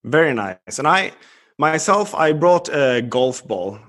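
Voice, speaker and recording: A man's voice: on the high side, very animated, slow pace, fairly bright tone. A man from Sweden hosting a podcast, reading a scripted intro and then chatting loosely with fillers. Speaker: podcast host. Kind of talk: podcast interview.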